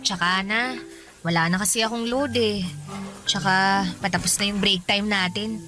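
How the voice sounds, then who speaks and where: A woman's voice: medium pitch, steady tone, normal speed, music in the background, close to an everyday voice. An actress playing a candid young working woman with a Filipino accent, in conversational radio drama style. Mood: chatty, candid. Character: chatty young working woman, warm, candid, stands her ground.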